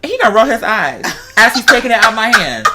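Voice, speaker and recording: A woman's voice: fairly high pitch, very animated, brisk, very noisy. An American woman chatting casually and telling stories in a sassy, emphatic, conversational way. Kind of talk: casual chat, animated storytelling.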